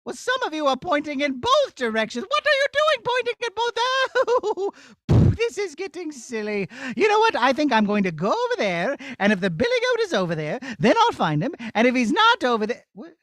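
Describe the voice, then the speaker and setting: Puppet-show princess: young, fussy and prim, high voice with swooping, lively pitch. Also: male voice, moderate pace, no echo, clean studio sound, heavily acted. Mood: flustered, exasperated. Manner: exclaiming, sing-song calling, prim. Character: chatty, fussy, easily flustered young princess. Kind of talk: children's puppet show acting.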